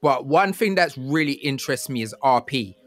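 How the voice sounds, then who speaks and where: A man's voice: medium pitch, animated, normal speed, a bit bright. A man hosts a casual interview in slangy, encouraging talk. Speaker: podcast host. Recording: music playing behind the talk.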